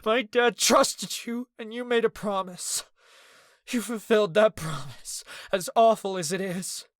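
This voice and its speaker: A man voicing a grief-stricken young man who cries and shouts in a high, strained, breaking voice. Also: measured pace, no echo, clean studio sound. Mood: sobbing. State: crying.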